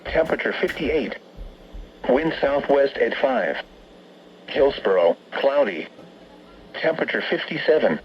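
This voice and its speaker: A lo-fi weather radio broadcast with some background noise carries an automated American man's voice. It is fairly high for a man and reads forecasts in a stilted, synthetic way, item after item. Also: unhurried, pauses often. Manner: robotic, stilted synthetic phrasing. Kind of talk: scripted weather forecast readout. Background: steady radio background noise.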